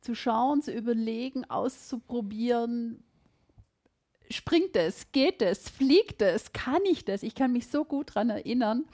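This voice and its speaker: A middle-aged woman with a Swabian German accent speaks in a lively, emphatic way, her voice sitting a little high. She chats casually as a podcast host, reflecting aloud. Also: a little slow. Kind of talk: casual conversation.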